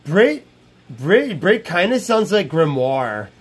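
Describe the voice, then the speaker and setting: A man with a slightly high voice talks loosely and profanely on a live stream from a small room. He circles back and repeats his points, bragging and riffing with chat. His earbud mic sits close to his mouth. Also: sing-song, slow pace, slightly rough. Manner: brash, profane, repeats himself. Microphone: wired earbud headset mic.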